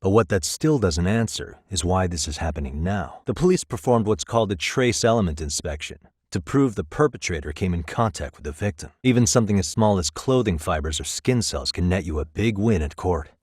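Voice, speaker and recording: An actor playing a calm, wry private detective in his thirties in a video game, speaking in a measured, conversational way. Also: low voice, breathy edge, dry recording, clean studio sound. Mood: measured, probing. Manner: measured, conversational, lightly sardonic. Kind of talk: naturalistic English video game voice acting.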